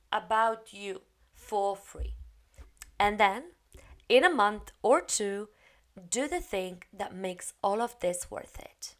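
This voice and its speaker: A woman, an English coach giving a direct, encouraging spoken lesson. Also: medium pitch. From Poland. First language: Polish. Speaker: English coach and TEFL teacher.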